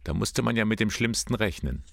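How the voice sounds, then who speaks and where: A man's voice: lowish voice, animated, brisk, gravelly. A man working as an interviewer, putting questions and short comments to a guest in a conversational tone.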